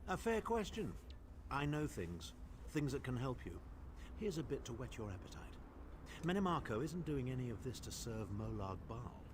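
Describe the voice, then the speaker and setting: An actor playing a haughty aristocratic male mage with a dry, condescending manner, over steady game noise. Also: medium pitch, moderate pace, natural voice. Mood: sardonic.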